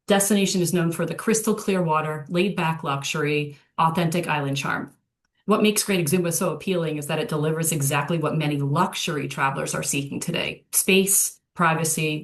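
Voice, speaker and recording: A woman hosting a travel webinar, giving a prepared introductory presentation. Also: low voice.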